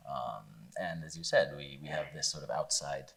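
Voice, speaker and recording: A man with an American accent, a filmmaker answering a question at a panel, measured and reflective with frequent fillers. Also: a bass voice, vocal fry, a bit bright. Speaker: filmmaker guest.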